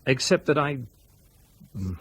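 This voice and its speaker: An actor playing a wary, doubtful aviation professional in a radio drama, hesitant and understated. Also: male voice, mid-range voice, lively intonation, measured pace, a little hiss or hum, lightly acted. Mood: doubtful, pondering.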